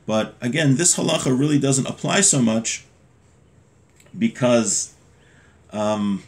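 Guy in his 30s teaching religious law at his desk, normal pitch, reads then explains, pretty informal. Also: expressive, slow pace. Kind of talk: informal lesson, reading Hebrew text aloud and explaining it in English. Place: small home room with bookshelves, indoors.

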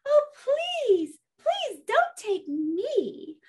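A woman's voice: big pitch swings, very slow, darkish tone, full character voice. A woman voicing the tiniest young billy goat in a children's story, timid and pleading in a very small voice. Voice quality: very small, squeaky voice.

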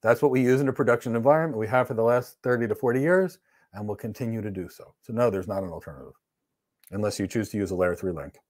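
A man's voice: on the low side, fast talker, a little mellow. A middle-aged man teaching networking on a live stream, answering viewer questions in a blunt, emphatic, matter-of-fact way. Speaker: networking instructor. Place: indoor studio with virtual backdrop.

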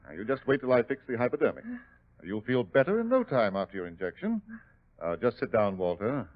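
A man's voice: medium pitch, sing-song, fairly quick, lightly acted. Man playing evasive villainous doctor, dull radio sound. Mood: smooth, reassuring. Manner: blustering, hesitant, protesting. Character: smooth, evasive male doctor, villain under suspicion. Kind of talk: 1940s radio acting. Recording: muffled vintage radio recording.

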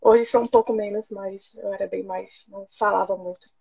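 A woman, a writer guest, speaking softly over a video call, hoarse from a cold. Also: medium pitch, steady tone, normal speed. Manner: soft, slightly shy. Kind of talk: casual video-call interview. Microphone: video call from home. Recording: dull lo-fi call audio.

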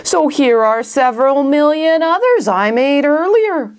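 A woman's voice: sits high, lively intonation, a little slow, voice pushed up. A woman voicing a scheming male mad scientist villain in a storybook, theatrical and menacing. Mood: gloating.

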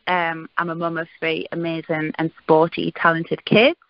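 A woman's voice: sits low, little pitch movement, normal speed, no echo. Chatty Geordie woman on a call line. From northeast England. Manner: chatty, many "um" fillers, upbeat. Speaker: caller giving a testimonial. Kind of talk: casual phone testimony. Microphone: conference call line. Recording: dull lo-fi call audio.

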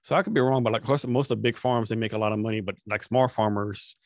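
Rapid-fire man with a gravelly voice. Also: fairly low pitch, steady tone, no room sound, clean studio sound. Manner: casual, lots of "like". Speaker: host. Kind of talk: casual interview questions. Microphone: studio microphone with headphones.

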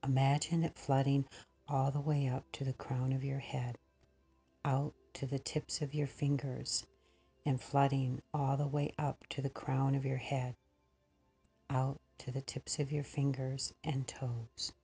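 A woman leading a slow guided meditation in a breathy, husky voice that often nears a whisper, pausing between short phrases. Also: a bass voice, little pitch movement, mellow, soft background music.

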